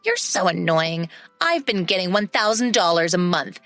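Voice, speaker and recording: A woman's voice: lowish voice, very animated, normal speed, a bit bright, dry recording, faint music behind. A woman voicing a spoiled, grumpy adult sister who boasts about money, in a narrated story.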